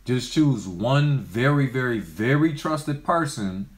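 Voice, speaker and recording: A man's voice: mid-range voice, lively intonation, slow pace. A young man co-hosting a casual couple chat, laid-back and slangy, recorded in a small room. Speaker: co-host.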